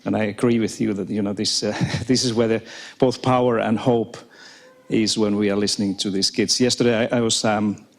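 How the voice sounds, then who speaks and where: A man's voice: on the low side, fairly even intonation, fairly quick. A man with a Finnish accent, a native Finnish and Swedish speaker, giving a chatty, hesitant keynote talk to a live audience and laughing often. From Finland. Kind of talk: conference talk. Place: conference hall with live audience.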